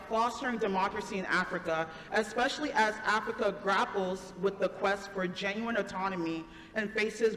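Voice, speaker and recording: A young woman student from Ghana asks a polite question from the audience, talking quickly and a little nervously. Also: mid-range voice, fairly bright tone. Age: young adult. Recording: echoey hall with faint noise.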